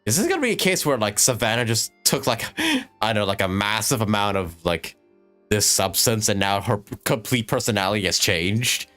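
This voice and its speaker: A male game streamer reacting casually, swearing and laughing through sarcastic asides. His pitch is mid-range but animated, with faint music playing under him. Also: a little fast. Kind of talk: casual live game commentary.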